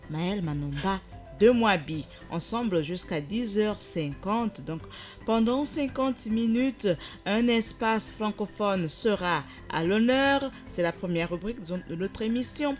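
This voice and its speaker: A woman presenting a live radio show, greeting listeners and introducing her crew, often rephrasing as she talks. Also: mid-range voice. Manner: warm greeting, rephrases herself as she goes.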